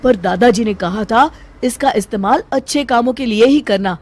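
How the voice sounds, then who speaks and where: A woman's voice: fairly high pitch, moderate pace, darkish tone, soft background music, natural voice. A woman voicing an earnest, cautious young man in a cartoon story, talking to his friend.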